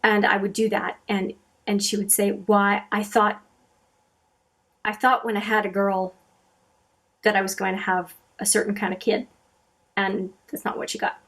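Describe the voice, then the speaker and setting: A woman's voice: mid-range voice, level tone, moderate pace, long pauses, a bit bright. Chatty Canadian woman, many fillers. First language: French. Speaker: makeup channel host. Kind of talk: casual talk to camera answering viewer questions.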